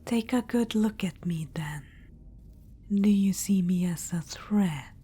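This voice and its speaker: A woman's voice: medium pitch, slow, breathy edge. A woman playing a whispering undead witch-queen. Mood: diplomatic. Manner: soft whisper throughout, calls listener darling. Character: ancient undead female witch-queen, regal, coaxing. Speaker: ASMR performer. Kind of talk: ASMR audio roleplay.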